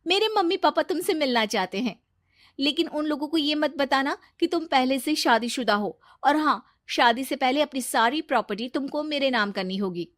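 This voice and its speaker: Woman putting on high, coy gold-digging girlfriend. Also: lively intonation, normal speed, fairly bright tone, no echo, studio quality. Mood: demanding. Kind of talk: Hindi cartoon story dialogue.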